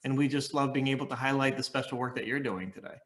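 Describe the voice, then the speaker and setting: A man's voice: medium pitch, quick pace, fairly bright tone. A man co-hosting an online interview, speaking in an earnest, effusive way as he praises the guest's work and asks about her focus. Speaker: interview co-host.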